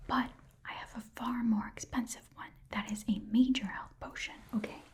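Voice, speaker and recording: A woman's voice: fairly high pitch, steady tone, normal speed, close to an everyday voice. Woman whispering as an easygoing goblin shopkeeper. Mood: casual, helpful. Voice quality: soft, breathy whisper. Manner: casual, rambling, softly haggling. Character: easygoing goblin pawn shopkeeper trading with adventurers. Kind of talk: whispered ASMR roleplay.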